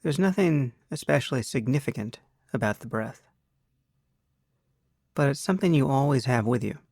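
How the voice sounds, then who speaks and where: A man leading a guided meditation as a teacher, speaking in an unhurried, measured way with long pauses. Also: mid-range voice, expressive, creaky, darkish tone, no echo, clean studio sound.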